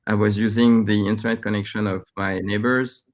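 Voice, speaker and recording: A man in his thirties from France with a French accent, giving halting, conversational answers as a startup founder being interviewed. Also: fairly low pitch, flat delivery. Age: 30s.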